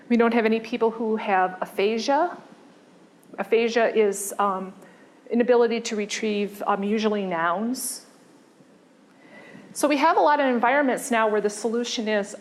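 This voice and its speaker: Woman lecturing with halting restarts. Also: a little high, slight background noise. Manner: conversational, pauses with fillers and restarts. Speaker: design lecturer.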